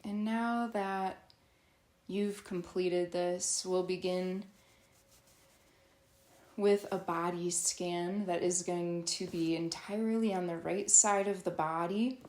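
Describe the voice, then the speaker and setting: Slow-paced woman guiding a roomy meditation. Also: lowish voice, fairly even intonation, a little mellow. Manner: soothing, instructional, with long pauses. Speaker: guided meditation teacher. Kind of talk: guided yoga nidra meditation.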